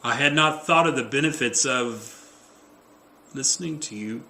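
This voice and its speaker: A man's voice: mid-range voice, moderate pace, sharp tone, reverberant, a little hiss or hum. A man acting a hapless, apologetic husband in a play, eager to please, earnest and dramatic.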